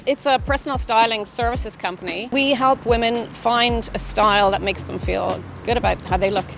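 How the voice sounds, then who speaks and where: A woman's voice: a little high, normal speed, creaky, over music. A woman with an Irish accent, a personal stylist explaining her work in an interview. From Ireland.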